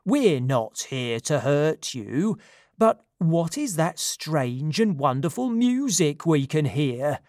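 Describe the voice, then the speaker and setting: A reader doing Silenus, a loud, dim satyr chief. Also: medium pitch, very animated, takes it slowly, no room sound, clean studio sound. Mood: coaxing. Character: fat, dim-witted male satyr leader.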